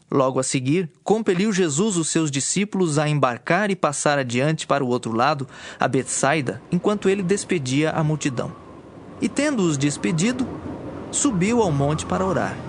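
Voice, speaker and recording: A man narrates scripture from a script. His voice is fairly high for a man. It is a dramatized Bible narration with music clearly playing underneath. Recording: clear music bed behind.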